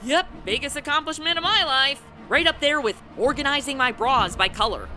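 A woman's voice: sits high, moderate pace, music in the background, natural voice. A woman acting a sardonic, irritable, hard-drinking publicist in a comic radio play, with slangy, sarcastic delivery. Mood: wry, jaded.

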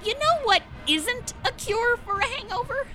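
A woman's voice: very high voice, expressive, normal speed, over music. A woman acting a sardonic, irritable, hard-drinking publicist in a comic radio play, with slangy, sarcastic delivery. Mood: wry, jaded.

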